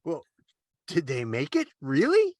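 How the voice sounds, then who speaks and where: Man as naive junior angel, eager, kindly, lively swooping pitch, dry close sound, radio play. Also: a little slow, dry recording. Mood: amazed. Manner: earnest, exclaiming, swooping intonation. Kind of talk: old-time radio play parody.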